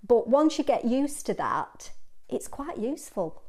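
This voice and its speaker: A woman speaks in a broad Lancashire accent, earnest and plain-spoken. Her account is personal and candid, and she pauses now and then on small fillers. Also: a little high, very animated, darkish tone. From Lancashire, England.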